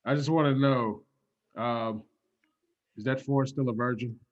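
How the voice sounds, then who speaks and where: A tipsy, lecherous male patron played by a man in improvised comedy. He has a medium-pitched voice with a halting, suggestive delivery and slightly muffled sound. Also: steady tone, lightly acted. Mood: leering, tipsy. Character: drunken lecherous male patron speaking in innuendo.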